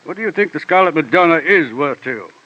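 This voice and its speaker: An actor playing a portly, pompous crime boss in an old radio drama, speaking in florid, formally polite phrases with quiet menace. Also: male voice, fairly high pitch, lively intonation, fast talker. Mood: pompous, grandiloquent. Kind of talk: 1940s-50s radio crime drama acting. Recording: dull old-time radio recording.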